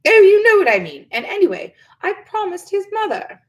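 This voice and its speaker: A woman voicing a short, squat old witch who scolds in shrill, loud bursts. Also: sing-song, a little fast. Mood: exasperated.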